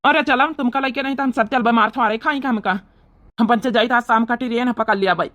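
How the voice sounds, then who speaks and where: A woman's voice: on the high side, fast talker, fairly bright tone, natural voice. A woman voicing an impatient young villager eager to flee, bossy and urgent, with an Awadhi accent in a cartoon comedy. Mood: impatient, nervous.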